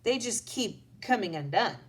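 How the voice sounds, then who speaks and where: A woman's voice: mid-range voice, lively intonation, measured pace, natural voice. A woman voicing a sulky, defensive young schoolboy in a storybook read-aloud. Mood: sheepish. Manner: huffy, mumbling.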